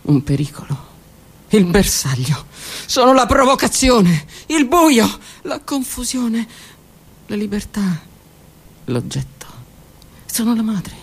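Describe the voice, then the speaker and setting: A woman performing a theatrical one-woman monologue, delivered dramatically, moving between loud outbursts and hushed whispers. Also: a little high, sing-song, takes it slowly, long pauses, slightly rough. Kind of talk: theatrical monologue broadcast on radio.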